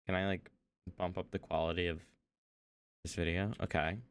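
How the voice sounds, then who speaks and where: A man's voice: low-pitched, level tone, moderate pace, smooth. A young man streaming as he reacts to a video, talking casually, rambling and hesitant, with lots of fillers.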